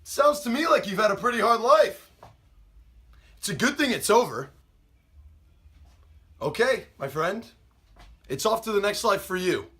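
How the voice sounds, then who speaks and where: Man acting out a bright-voiced, taunting American killer. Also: high voice, expressive, measured pace, long pauses, voice pushed up. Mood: cold gloating. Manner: taunting, theatrical, mock-polite. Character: menacing, gloating male killer toying with his victim. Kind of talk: dramatic audition monologue.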